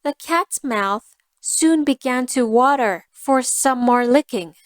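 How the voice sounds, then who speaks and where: A woman reading a scripted fairy tale for language learners, enunciating carefully and deliberately. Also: high voice, animated, no room sound, studio quality. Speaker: storyteller.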